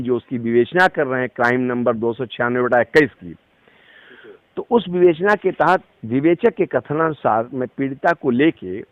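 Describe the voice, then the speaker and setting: A man's voice: medium pitch, dry recording, dull audio. A man giving a formal, emphatic statement as an advocate in an interview. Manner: formal, emphatic legal phrasing. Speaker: advocate giving a victim's account. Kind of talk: phone interview statement, recounting a complaint.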